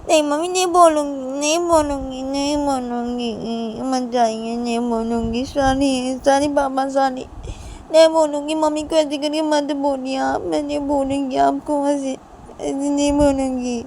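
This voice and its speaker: A woman's voice: sits high, level tone, unhurried, a little mellow, slight background noise. A woman voicing an upset young daughter who pleads and scolds in a whiny, repetitive childlike way in an amateur story. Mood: pleading.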